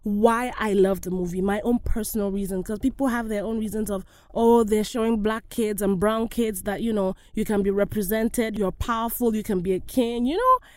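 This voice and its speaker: A woman's voice: mid-range voice, normal speed, no room sound. A woman speaking passionately and emphatically in a personal vlog. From Africa. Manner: passionate, emphatic, rising exclamations. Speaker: actress.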